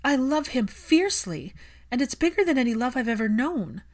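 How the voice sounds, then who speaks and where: A woman's voice: sits high, very animated, normal speed, darkish tone, pitched above the performer's own voice. A woman narrator voicing a fiercely loving, hurt young woman in an audiobook, speaking with emphatic feeling. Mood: shaken, fierce. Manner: emphatic, impassioned.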